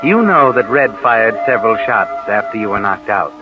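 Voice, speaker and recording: A man's voice: fairly low pitch, expressive, moderate pace, lightly acted. An actor playing a sinister suspense narrator on 1940s radio, needling and insinuating like a man's guilty conscience. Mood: insinuating, taunting. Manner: insinuating, taunting second-person address. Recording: dull 1940s radio, loud music behind.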